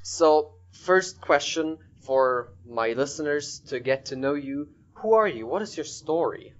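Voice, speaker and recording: A man's voice: on the high side, animated, unhurried, lo-fi sound. A man hosting a podcast, talking informally and upbeat as he introduces and interviews his guest.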